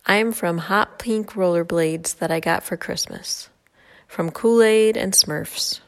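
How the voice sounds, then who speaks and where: Woman, somewhat low voice, reading a personal poem with a steady rhythm. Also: slow.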